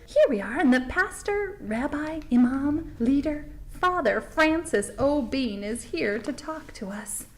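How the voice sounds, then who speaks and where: A woman's voice: high voice, animated, measured pace, few pauses. A woman playing a polite, chatty, slightly bemused host who interviews townsfolk for a comic mockumentary. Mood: chatty, presenting. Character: polite, admiring small-town documentary interviewer.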